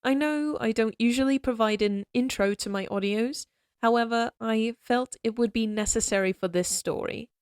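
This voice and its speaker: A woman's voice: a little high, moderate pace, a little mellow, no room sound, clean studio sound. A woman who creates audio roleplays, giving a friendly spoken introduction that speaks directly to her listeners. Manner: friendly, direct address to the audience.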